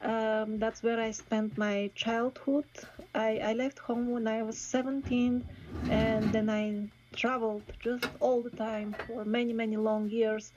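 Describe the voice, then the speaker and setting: A woman from Bulgaria, a guest speaking in a thoughtful, halting way with many pauses and restarts. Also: a little high, level tone, a little mellow, muffled recording, slight background noise. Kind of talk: casual interview talk.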